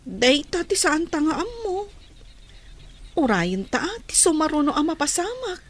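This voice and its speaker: High-pitched young Ilocano working student. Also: female voice, very animated, measured pace. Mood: wary, gossipy. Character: young female restaurant worker, hopeful working student, chatty. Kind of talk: Ilocano radio drama acting.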